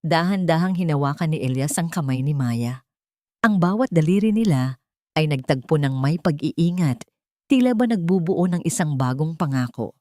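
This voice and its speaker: Female narrator for dramatic audio fiction: lower register, solemn and sentimental, dry studio-like sound. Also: animated, normal speed, warm tone, dry recording. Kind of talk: scripted dramatic story narration.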